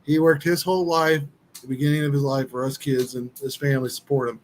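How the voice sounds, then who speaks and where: A man's voice: medium pitch, level tone, fast, darkish tone, slight background noise, rough recording. Rambling man in his thirties. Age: 30s. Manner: rambling, restarts a lot. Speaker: livestream host. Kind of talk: casual chat. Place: at home. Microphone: laptop.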